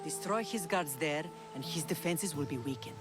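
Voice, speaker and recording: A woman's voice: deep voice, moderate pace, lightly acted. Woman playing a fierce warrior mother over music. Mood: steely. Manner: firm, resolute. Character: fierce, unforgiving middle-aged warrior mother. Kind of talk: dramatic video game acting.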